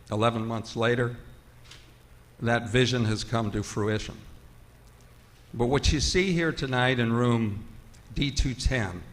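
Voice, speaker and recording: A man giving a measured, halting, formal welcome speech as host at a live theater event. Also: medium pitch. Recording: echoey hall, noticeable noise.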